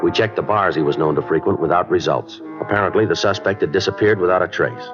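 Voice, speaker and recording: An actor playing a terse, businesslike police detective in a 1950s radio drama, speaking in a deadpan, clipped, matter-of-fact way. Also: male voice, deep voice, fast, over music, close to an everyday voice. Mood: flat, factual. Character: terse, businesslike male police detective, narrating his cases. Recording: dull old radio recording.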